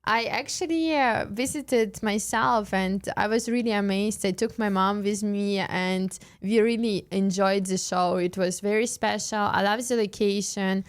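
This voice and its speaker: Woman hosting a relaxed podcast interview. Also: mid-range voice, a little slow, hardly any pauses. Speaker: podcast host. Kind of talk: casual conversational interview.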